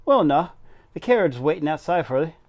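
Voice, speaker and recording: A man's voice: on the high side, very animated, quick pace, voice pushed up. A man reading aloud and voicing a stern middle-aged woman housekeeper in an attempted Yorkshire accent. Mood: brisk.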